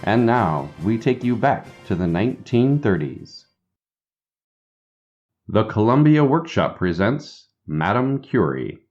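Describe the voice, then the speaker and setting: A man's voice: fairly low pitch, sing-song, takes it slowly, darkish tone, dull audio, soft background music. A man as a formal, declamatory announcer reading a script in 1930s radio style.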